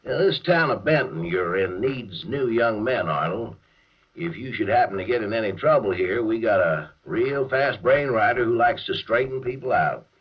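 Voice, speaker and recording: An American actor playing a disgruntled small-town police chief in a 1950s radio drama, speaking in a folksy, wry way. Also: male voice, fairly low pitch, lively intonation, moderate pace, husky, muffled recording. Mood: folksy, official. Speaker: actor narrating in character. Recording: band-limited old 1950s radio recording.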